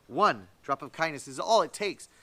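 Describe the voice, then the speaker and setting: A man voicing a kindly female school principal in a storybook, gentle, earnest and deliberate. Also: on the high side, animated. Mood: tender, reassuring.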